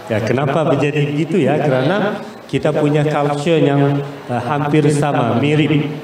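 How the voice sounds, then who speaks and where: A Malaysian man speaks in a fairly high, mellow voice, explaining things as a business guest in an interview. He halts often to find his words. The recording is very echoey. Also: moderate pace. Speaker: business guest, investor and trade promoter. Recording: echoey, distant mic with faint noise.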